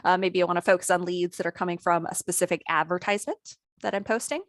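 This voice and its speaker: A woman trainer talking through a software tutorial in a casual, conversational way, her voice a little worn today. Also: on the low side, steady tone, fast, no echo, studio quality. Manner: conversational, lots of "maybe" and "you know". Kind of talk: screen-share software tutorial.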